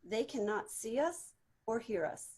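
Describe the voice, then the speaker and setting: A woman playing a calm, firm spirit guide in an amateur table read of a Christmas ghost story. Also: a little high, measured pace, slightly rough.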